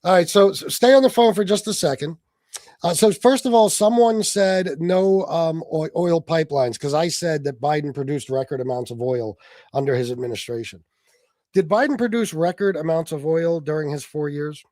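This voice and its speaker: Combative livestream host, slightly high voice. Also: male voice, moderate pace, a little mellow, no room sound, studio quality. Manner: combative, cuts in over the caller; reads search results aloud. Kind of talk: heated call-in debate.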